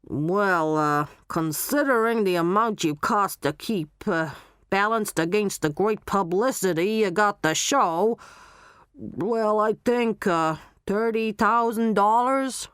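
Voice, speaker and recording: A woman's voice: mid-range voice, slow pace, fairly bright tone, clear, resonant tone, dry recording, clean studio sound. A woman as a hesitant showbiz man. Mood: hesitant, calculating. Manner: hesitant, hemming and hawing. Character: hesitant, affable man in show business.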